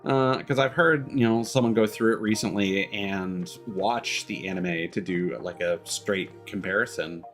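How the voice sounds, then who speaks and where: Low-voiced man rambling over music. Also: a little slow, hardly any pauses, fairly bright tone. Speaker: game streamer. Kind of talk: casual live-stream commentary.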